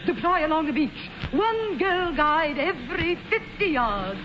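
A woman's voice: sits high, lively intonation, a little slow, heavy background noise. An actress playing a rousing, militaristic girl guide leader, declaiming orders in a 1940s radio comedy style. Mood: rousing, commanding. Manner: declamatory, drilling. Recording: muffled old radio with loud music.

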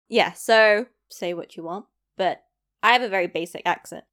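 A woman's voice: medium pitch, fairly bright tone. A young woman from East London with a London accent, talking casually to the camera, self-deprecating and rambling with asides. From East London, England. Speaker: vlogger.

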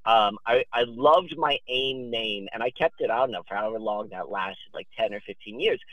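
A man in his fifties talking casually as a podcast guest, telling rambling stories in an excitable, digressive, emphatic manner. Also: on the low side, moderate pace, no room sound, highs cut off. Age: 50s. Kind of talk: animated rambling storytelling and casual chat.

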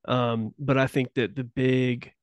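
A man's voice: mid-range voice, little pitch movement, takes it slowly, no echo. A man speaking as a podcast guest in casual chat, rambling and restarting sentences as he speculates. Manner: rambling, self-interrupting, speculative. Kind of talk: casual conversational interview.

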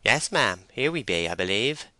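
A man voicing an eager, shrill farm woman with a West Country accent, in an audiobook story. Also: sing-song, moderate pace, sharp tone, dry recording, full character voice. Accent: English West Country. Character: shrill pair of eager young farm women.

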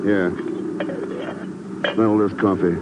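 An actor playing a terse, commanding secret agent with crisp, dry delivery in 1950s radio drama style. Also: male voice, lowish voice, level tone, slow pace. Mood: stern, urgent. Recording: muffled, very noisy old radio recording.

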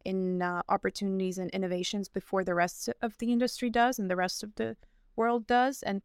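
A young woman talks through her answers in an earnest, easygoing way, pausing with fillers and restarting her sentences. Her voice is smooth and resonant at a middle pitch. The sound is dry and close, with no room around it. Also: level tone, no room sound. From United States. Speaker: interview guest, fashion founder. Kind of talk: casual interview answers.

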